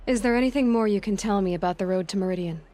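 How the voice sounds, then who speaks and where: An actress playing a young hunter in a video game, resolute and capable, with a direct, terse, dry way of talking. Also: female voice, medium pitch, racing, mellow, close to an everyday voice. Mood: curious, businesslike. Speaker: game protagonist. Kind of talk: video game dialogue acting. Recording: game soundtrack music behind. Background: music.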